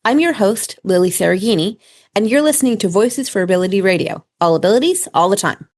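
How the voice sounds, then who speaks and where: A woman's voice: mid-range voice, expressive, fast, darkish tone. A woman radio host giving a scripted introduction and asking her guest questions. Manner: reads from a prepared script.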